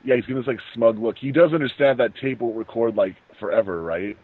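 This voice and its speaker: A man's voice: medium pitch, fast, slightly rough. A man riffing casually on a movie with friends in a joking, mock-dramatic way. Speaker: movie commentary host. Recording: roomy, tinny phone-like internet call.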